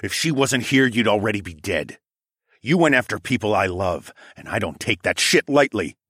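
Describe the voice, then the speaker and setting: A man voicing a menacing, quick-tempered dragon-shifter lord in an audiobook, growling and scornful. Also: fairly low pitch, very animated, fairly bright tone, husky, no room sound, clean studio sound, full character voice.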